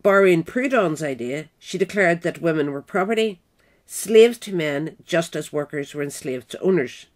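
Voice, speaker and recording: A narrator reading a scripted essay in a measured, deliberate manner. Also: mid-range voice, expressive. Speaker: narrator, reader.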